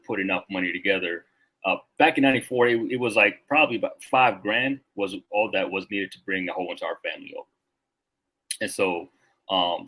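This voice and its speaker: A man's voice: sits low, pushed and bright, slightly rough, low-quality recording. A man in his thirties from Rwanda, a Marine veteran guest talking casually and reflectively on a podcast, with lots of filler words.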